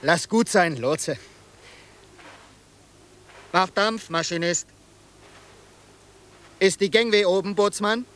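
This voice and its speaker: A man's voice: high voice, slow pace, pauses often, a bit bright. An actor playing a resolute ship's helmsman in a radio drama, speaking in a clipped, commanding way. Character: resolute ship's helmsman giving brisk orders. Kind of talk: 1990s German radio play acting.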